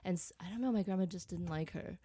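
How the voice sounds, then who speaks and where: A woman's voice: lowish voice, fast talker, mellow, breathy edge, no room sound. A woman speaking as a podcast guest, telling personal stories in a candid, casual way. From Vietnam.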